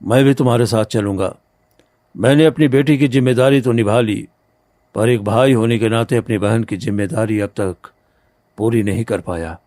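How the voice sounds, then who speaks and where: A man's voice: on the low side, moderate pace, dark tone, no echo, close to an everyday voice. A man voicing an honest, protective poor farmer in a cartoon story, with an earnest, dramatic delivery. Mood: determined. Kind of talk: Hindi cartoon story acting.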